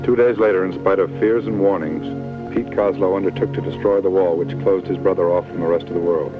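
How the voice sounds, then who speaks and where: A man's voice: deep voice, fairly even intonation, a little fast, close to an everyday voice. A man playing a solemn, authoritative narrator of a 1950s television medical drama. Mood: grave. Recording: tinny, band-limited old TV soundtrack. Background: music.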